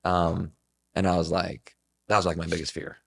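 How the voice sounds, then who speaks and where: A man's voice: low-pitched, little pitch movement, moderate pace, vocal fry, slightly rough. A man in his twenties talking casually and reflectively as a podcast guest, earnest and rambling, with plenty of filler words. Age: 20s.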